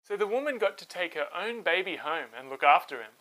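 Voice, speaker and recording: Male storyteller and host for children's narration: animated, bright, dramatic, clear phrasing. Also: sits high, fairly quick, rough recording.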